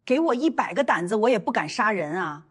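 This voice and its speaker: A woman playing a brash, husky middle-aged woman. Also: mid-range voice, very animated, brisk. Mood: defensive. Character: brash middle-aged woman, defensive accomplice and busybody matchmaker. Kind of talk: audio drama dialogue.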